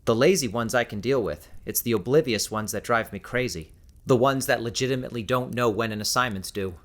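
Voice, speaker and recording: A man's voice: mid-range voice, brisk, fairly bright tone, close to an everyday voice. A man playing an easygoing, wry young husband who teaches, in casual audiobook dialogue. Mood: wry, mock-indignant.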